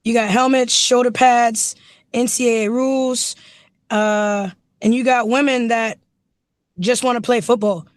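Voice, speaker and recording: A woman football coach with a naturally raspy voice, hoarse from yelling, chatting casually with enthusiasm in an interview over a video call. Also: fairly high pitch, fairly even intonation, measured pace, no echo, studio quality. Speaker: running backs coach, guest.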